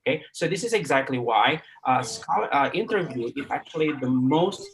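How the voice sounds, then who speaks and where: Man, middle-aged, Indonesian accent, Sundanese native speaker, online lecture, average pitch, frequent fillers, tag questions. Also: expressive, moderate pace. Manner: many fillers and restarts, keeps asking "right?". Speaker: guest lecturer. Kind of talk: live online class talk.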